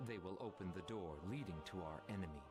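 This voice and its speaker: A man voicing a mysterious guardian of time in a video game, formal, measured and portentous. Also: low-pitched, lightly acted. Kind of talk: dramatic video game voice acting. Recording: loud game music over the voice.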